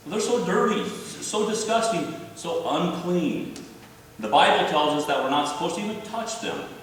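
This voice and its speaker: A man playing a remorseful, self-blaming prodigal son in a church readers theater monologue, halting and emphatic as he confesses. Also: high-pitched, expressive, fairly bright tone. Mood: disgusted. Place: large church room, live before congregation. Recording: echoey church hall from far off, faint noise.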